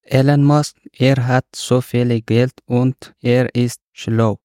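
A man's voice: medium pitch, steady tone, slow pace, darkish tone, smooth, no echo, clean studio sound. A man from Afghanistan, a non-native speaker, taking part in a podcast discussion. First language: not German. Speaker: podcast participant.

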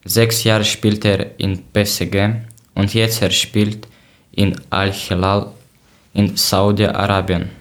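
Ein Mann aus der Ukraine mit Deutsch als Fremdsprache liest als Co-Moderator kurze, vorbereitete Sätze gemessen vor. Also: male voice, lowish voice, flat delivery. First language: not German. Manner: plain, measured reading with short sentences. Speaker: podcast co-host. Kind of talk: reading prepared talk in learner German.

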